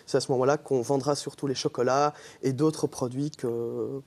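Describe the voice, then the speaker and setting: A man's voice: mid-range voice, steady tone, moderate pace, creaky, a little mellow. A young man with a Belgian French accent, earnestly explaining as a guest in a TV interview. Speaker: student group member, guest. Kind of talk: TV interview answers.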